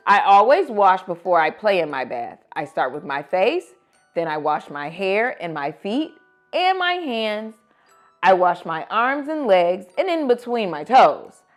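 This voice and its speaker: A woman reading picture books aloud to children as host, with expressive, dramatic emphasis. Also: mid-range voice, unhurried, soft background music. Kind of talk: animated storybook read-aloud for children.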